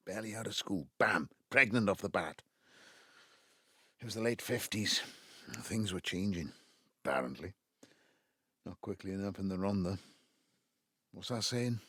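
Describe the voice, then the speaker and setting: An actor playing an embittered, wistful Welsh father in his seventies, with a South Wales Valleys accent and a halting, reflective delivery in an audio drama. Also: expressive, fairly bright tone, slightly rough, no echo, clean studio sound, full character voice. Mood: reminiscing. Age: 70s. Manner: halting, reflective, breaking into low muttering. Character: embittered ageing Welsh patriarch, wistful and self-justifying. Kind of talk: naturalistic audio drama monologue.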